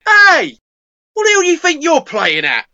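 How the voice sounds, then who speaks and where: A woman's voice: very high-pitched, wildly swooping pitch, moderate pace, sharp tone, lo-fi sound, heavily acted. A woman playing a hot-tempered, accusing passenger with a sarcastic, confrontational manner in an amateur radio play. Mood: angry.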